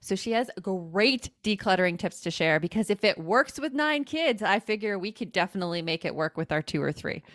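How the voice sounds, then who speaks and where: A woman hosts a parenting podcast, chatting easily and laughing often with her co-host and guest. Her voice sits at an ordinary middle pitch. The close mic keeps the sound dry, with no room around her. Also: expressive, brisk, hardly any pauses, fairly bright tone, no echo. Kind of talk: casual chat.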